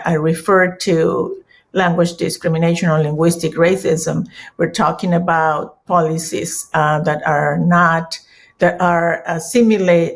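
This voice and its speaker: Low gravelly woman with Peruvian accent. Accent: Peruvian Spanish. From Peru. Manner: halting, many restarts and self-corrections. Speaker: conference speaker. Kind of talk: conference presentation.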